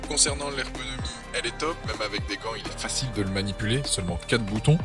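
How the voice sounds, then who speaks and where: Fast-talking low-voiced Frenchman reviewing products. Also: over loud music. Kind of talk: scripted narration, product roundup voice-over.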